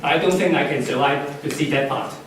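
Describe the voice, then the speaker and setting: Cantonese-native man, far off in an echoey hall. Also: fairly high pitch. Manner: halting, many fillers and restarts. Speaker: conference speaker. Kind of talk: unscripted closing remarks. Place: conference hall. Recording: echoey hall, far from the mic, faint noise.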